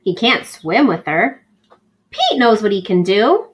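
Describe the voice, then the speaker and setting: A woman reading a picture book aloud to children from home, animated and gentle, pausing to ask her young listeners questions. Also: mid-range voice. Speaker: school librarian reading aloud. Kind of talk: children's read-aloud storytelling.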